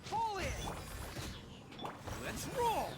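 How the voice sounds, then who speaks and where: A bold, rowdy young video game fighter, barking battle calls in a high voice that swoops. The calls come out drawn and punchy over loud music and combat effects. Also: very animated, very slow, exaggerated voice. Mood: fired up.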